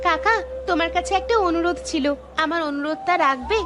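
A woman's voice: extremely high pitch, a little fast. A woman voicing a poor, dutiful young daughter in a cartoon story, speaking in an earnest, pleading manner. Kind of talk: Bengali cartoon story voice acting. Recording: music behind the voice.

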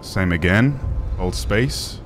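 A young man with a deep voice giving casual gameplay commentary as a streamer, relaxed with excited asides. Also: slow, over music. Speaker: gaming streamer.

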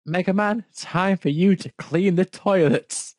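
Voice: mid-range voice, takes it slowly, darkish tone, dry recording, studio quality. A person reading fan fiction aloud and breaking off to question and poke fun at it off the cuff. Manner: breaks off to question and mock the text. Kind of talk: amused read-aloud with off-the-cuff commentary.

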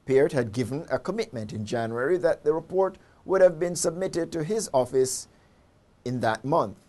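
A Jamaican man in his forties reads a scripted news report as a studio presenter. His voice sits a little higher than most men's. The delivery is formal and measured, picked up on a lapel mic. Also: animated, a little mellow. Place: indoor studio.

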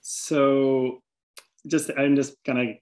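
A man's voice: medium pitch, level tone, slow, a little mellow. A man from Venezuela with a Venezuelan accent, an artist presenting his work over slides, hesitant, with many restarts and fillers.

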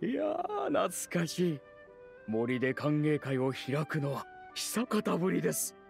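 A kindly old animal elder in an anime game. His voice sits a little high for a man, with courtly, old-fashioned, grandfatherly phrasing. Music plays behind him. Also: very animated, a little slow, gravelly, exaggerated voice. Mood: warm, obliging. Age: older. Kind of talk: anime video game voice acting.

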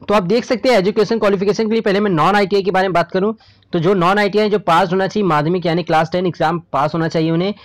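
A man with an Indian accent presenting as a channel host, explaining informally and repetitively, often addressing viewers as friends. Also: a little high. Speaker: host of a government job news channel. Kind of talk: informal explainer narration over a screen recording.

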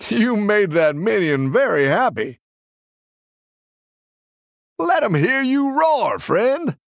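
An actor playing a friendly, encouraging tavern keeper who looks after little dragons in a video game, with a warm, folksy manner and a gravelly, husky voice. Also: male voice, a little high, no echo, studio quality, exaggerated voice. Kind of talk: game character voice lines.

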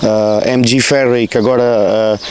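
Man, european portuguese accent, thirties, halting with many ums, outdoors, handheld mic, faint noise. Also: mid-range voice, level tone, darkish tone. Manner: hesitant, many fillers and restarts. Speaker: councillor for culture. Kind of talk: outdoor news interview. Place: outdoors in a sunny park. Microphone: handheld reporter mic.

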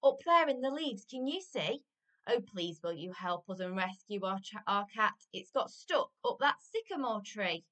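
A woman's voice: mid-range voice, animated, measured pace, a bit bright, recorded from a distance, pitched above the performer's own voice. A woman voicing small children in a storybook, sweet and eager, pleading emphatically. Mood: urgent, pleading.

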